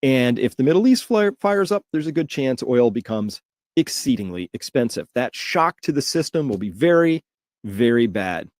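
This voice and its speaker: A man's voice: mid-range voice, very animated, normal speed, darkish tone. A man giving informal, opinionated news commentary as a host, emphatic and alarmed, repeating words for emphasis.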